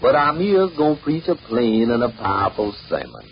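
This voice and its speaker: A man's voice: medium pitch, huge pitch swings, moderate pace, dull audio. A man performing a comic mock sermon as an exasperated, foul-mouthed, folksy preacher scolding his stingy flock. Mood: pompous. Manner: folksy, bombastic, cursing pulpit delivery. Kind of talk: mock sermon comedy monologue. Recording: noisy band-limited old 78rpm record.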